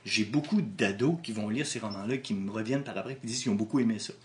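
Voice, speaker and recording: A man's voice: on the low side, fast, a little mellow, slight background noise. A man with a Québécois French accent, an author answering interview questions in a thoughtful way, with frequent restarts. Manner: thoughtful, with many restarts and hesitations.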